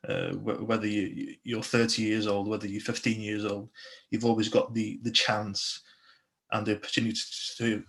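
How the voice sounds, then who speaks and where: A man's voice: on the low side, fairly bright tone. A young adult man from Slovakia, a police constable, speaking earnestly on a video call interview with many restarts.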